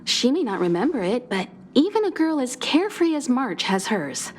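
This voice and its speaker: A woman playing a composed, caring mentor in a video game, speaking calmly and reflectively. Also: sits high, moderate pace, a little hiss or hum. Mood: reassuring, reflective. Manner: calm, reassuring, reflective. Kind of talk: dramatic video game voice acting.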